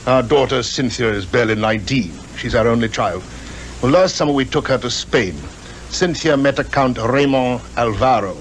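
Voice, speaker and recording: A man's voice: medium pitch, moderate pace, natural voice. A middle-aged actor with a British accent playing a polite, troubled upper-class father in a 1950s radio drama. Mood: troubled, earnest. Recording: noisy 1950s radio recording.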